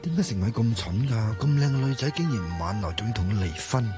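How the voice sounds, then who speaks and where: A man's voice: lowish voice, expressive, quick pace, loud music behind the voice, natural voice. An actor in a Hong Kong radio drama playing a hesitant, flustered young man with a Hong Kong Cantonese accent. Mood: musing. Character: flustered, earnest young man mistaken for another. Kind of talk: broadcast radio drama acting.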